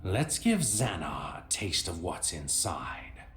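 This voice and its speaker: An actor playing a cool, scheming hero who narrates his tale in a measured way for a video game. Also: low-pitched, very animated, full character voice. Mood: cool, calculating. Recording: clear background music.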